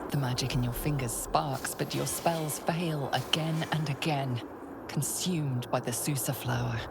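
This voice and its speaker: A woman's voice: extremely low pitch, steady tone, a little slow, gravelly, heavily acted. A woman playing an ominous storyteller who narrates a fantasy video game in a portentous way. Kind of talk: scripted second-person game narration. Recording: loud background ambience noise.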